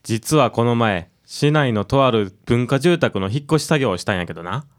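A mid-pitched male voice with a Kansai accent from an actor. He is a gossipy young working man in a radio drama, sharing suspicions in a colloquial, conspiratorial way. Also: expressive, normal speed, natural voice.

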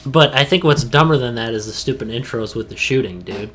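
A man's voice: medium pitch, brisk, a crowd in the background. A man co-hosting a casual gaming podcast, talking in a slangy, blunt and scornful way. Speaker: podcast co-host.

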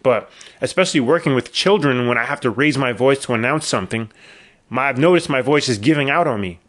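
A man's voice: medium pitch, a little fast, a bit bright. A middle-aged man, hosting his own podcast and telling long rambling stories with wry asides, his voice a little worn after illness. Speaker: podcast host. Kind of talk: casual solo podcast monologue.

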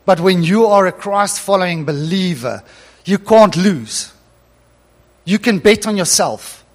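A man's voice: high-pitched, expressive, a little slow. A male pastor whose first language is Afrikaans, telling a story in an earnest sermon that builds to emphatic preaching.

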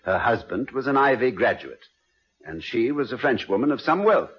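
An actor playing a genial, scholarly college president and playfully wordy husband in 1950s radio comedy style, courteous, wry and musing. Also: male voice, mid-range voice, expressive, moderate pace, breathy edge. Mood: wry, pleased. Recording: dull vintage radio recording.

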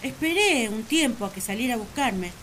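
A woman's voice: fairly high pitch, lively intonation, normal speed, a bit bright, a little hiss or hum. An actress playing an earnest, lovesick first woman who confides her feelings in a sentimental radio drama monologue. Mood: sad, hurt.

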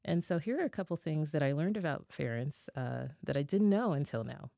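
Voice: mid-range voice, animated, a little fast, vocal fry, mellow, no room sound, clean studio sound. A podcast host reading a guest introduction and then interviewing, with small slips and self-corrections along the way.

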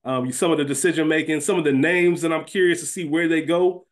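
A man's voice: a little high, fairly even intonation, a little fast. A man co-hosting a podcast, talking through a TV series in an informal, conversational, explanatory way. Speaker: podcast co-host. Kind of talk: casual podcast discussion of a TV series.

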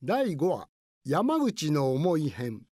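A woman narrating a light radio comedy in a measured, presentational scripted style. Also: low-pitched, wildly swooping pitch, exaggerated voice.

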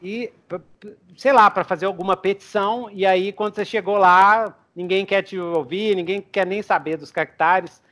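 A man's voice: sits high, steady tone, normal speed, fairly bright tone. A young man, running a tabletop game as game master and improvising the story as he goes, often with a chuckle.

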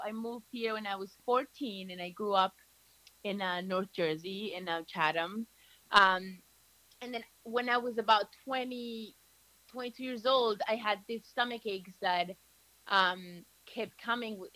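A woman's voice: mid-range voice, steady tone, a little slow, bright, forceful tone, no room sound, low-quality recording. A woman from Colombia, a podcast guest telling her story in an earnest, conversational way. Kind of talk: casual interview chat.

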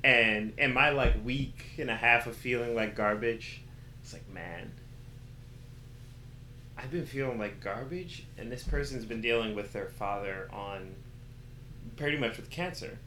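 Male interview guest, bright forceful voice, candid slangy storyteller, roomy echo. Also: medium pitch, normal speed. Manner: animated storytelling with acted-out quotes and slang. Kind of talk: casual candid conversation. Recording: roomy echo with faint background noise.

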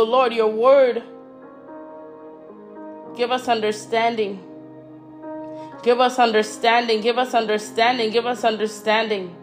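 A woman leading a fervent spoken prayer, repeating her petitions and calling out "oh God" between phrases. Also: high voice. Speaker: prayer leader.

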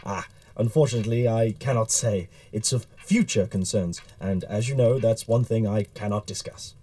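A man acting in an audio drama as an earnest, dry, slightly awkward male Victorian writer, speaking in a formal, measured, wry way. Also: lowish voice, mellow, no room sound, natural voice.

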